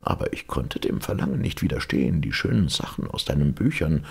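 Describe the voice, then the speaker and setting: A man's voice: extremely low pitch, very animated, a little fast, vocal fry, slightly rough, full character voice. A man voicing a humble, polite old country god in a storybook, apologetic and deferential.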